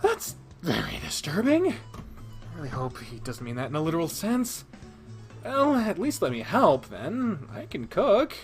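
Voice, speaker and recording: A man's voice: a little high, huge pitch swings, unhurried, soft background music, voice pushed up, heavily acted. A man playing a polite, modest young male athlete who talks hesitantly and wryly. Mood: polite, uneasy. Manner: modest, hesitant, dryly wry.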